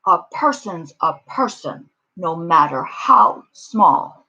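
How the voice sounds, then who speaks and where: A big, gentle male elephant from a storybook, acted by a woman. He speaks slowly and emphatically, earnest and protective, in a slightly husky voice. The recording is a bit dull. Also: fairly low pitch, lively intonation, pitched below the performer's own voice, exaggerated voice. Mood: worried, determined.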